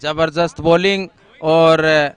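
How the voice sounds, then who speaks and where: A high-voiced man calls a live cricket match in a casual, chatty style. His delivery is slow, and his voice is clean and smooth, captured dry with no room sound. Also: fairly even intonation, dry recording. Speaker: cricket commentator.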